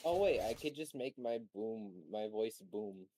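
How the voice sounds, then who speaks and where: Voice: mid-range voice, expressive, unhurried, mellow, slight background noise, rough recording. A player in a tabletop role-playing game, bantering casually and swearing freely. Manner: joking, blunt, swears freely.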